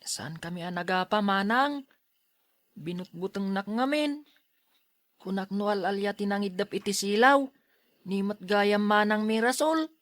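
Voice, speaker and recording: A woman's voice: medium pitch, a little slow, pauses often, dry recording, natural voice. A woman playing a timid, easily frightened schoolboy with a fretful, whiny delivery in an Ilocano radio drama.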